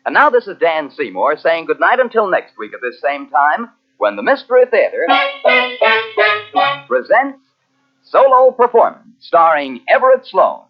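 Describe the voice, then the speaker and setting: A man's voice: a little high, very animated, normal speed, faint music behind. A man announcing on 1940s radio in a punchy, formal promotional style. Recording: muffled old radio recording.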